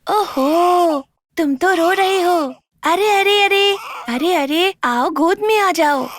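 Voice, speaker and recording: An actress playing a mistreated young girl who speaks softly and pleads. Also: very high-pitched. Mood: soothing. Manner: soft, pleading, slow. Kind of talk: melodramatic web drama acting.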